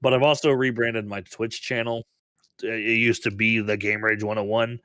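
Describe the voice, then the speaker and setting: Podcast co-host, male, under the weather, bright edge, giggly, trips over restarts, dry close mic. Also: fairly low pitch, little pitch movement, moderate pace, dry recording. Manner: laughs often, restarts sentences. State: sick. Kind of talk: casual podcast chat.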